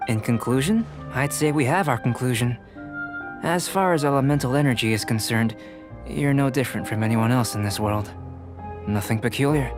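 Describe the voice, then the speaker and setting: Calm, scholarly alchemist, acted by a man, mid-pitched. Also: close to an everyday voice. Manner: measured, precise, composed. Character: calm, scholarly young male alchemist, detached and self-assured. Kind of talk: video game character acting.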